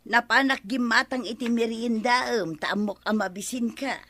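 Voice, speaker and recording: Crafty village wife, Ilocano accent, high voice, dry close sound, radio comedy drama. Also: female voice, moderate pace, fairly bright tone, no room sound. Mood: placating.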